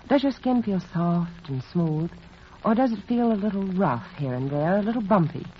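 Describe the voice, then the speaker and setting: Animated woman hostess, vintage radio advert. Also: fairly low pitch. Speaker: hostess and sponsor spokeswoman. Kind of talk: scripted 1940s radio advertisement. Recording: muffled old radio recording, faint hiss.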